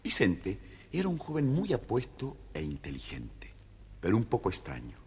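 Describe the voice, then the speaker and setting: A man narrating a scripted children's tale as a theatrical, expressive storyteller. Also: fairly low pitch, normal speed, slightly rough.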